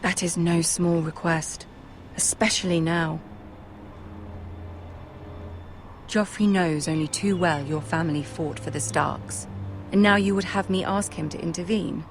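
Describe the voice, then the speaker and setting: Actress as a low-voiced, regal young noblewoman. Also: faint music behind, close to an everyday voice. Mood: grave, cautious. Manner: measured, regal, composed. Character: poised young noblewoman, future queen, shrewd and courteous. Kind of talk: cinematic video game voice acting.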